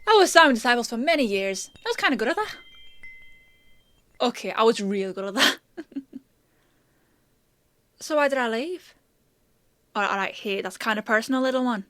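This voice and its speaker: A woman's voice: on the high side, animated, normal speed, pauses often, fairly bright tone, dry recording. A woman playing a chatty, confident country apothecary in a fantasy audio roleplay, explaining and teasing as she talks to the listener. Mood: playfully boastful, then candid, teasing. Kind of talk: one-sided roleplay acting addressed to the listener.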